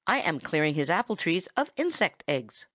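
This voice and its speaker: A woman's voice: low voice, sing-song, normal speed, a bit bright, no room sound, studio quality, heavily acted. A woman voicing a cheery, boastful little male chickadee bird in a storybook reading, bubbly and merry. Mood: breezy, busy.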